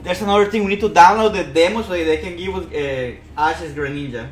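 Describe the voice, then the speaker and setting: A man's voice: a little high, fairly quick. A young man chatting casually as co-host of a live stream, informal and bantering, sometimes dropping in words. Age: young adult. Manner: informal, mixes in Spanish words. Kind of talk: casual bantering livestream chat. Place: indoor room, shared stream setup. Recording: roomy echo, music behind. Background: music.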